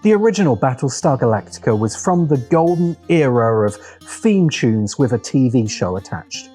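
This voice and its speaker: A man narrating a scripted video essay as host, in a deliberate, wry, opinionated manner with dramatic pauses and emphasis. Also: mid-range voice, lively intonation, warm tone, faint music behind.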